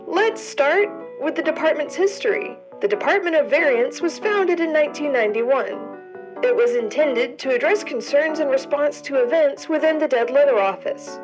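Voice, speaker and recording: A woman's voice: high voice, expressive, normal speed, music in the background, low-quality recording. A woman playing a polished orientation video narrator, over-enunciating an official script in an audio drama. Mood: chipper, official. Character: cheery female corporate orientation narrator, privately exasperated.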